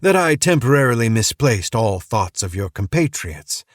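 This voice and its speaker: A man's voice: medium pitch, sing-song, normal speed, dry recording, studio quality, lightly acted. A man voicing an unhinged male cult preacher who swings between gleeful mockery and menace. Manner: manic, cackling, mocking sing-song.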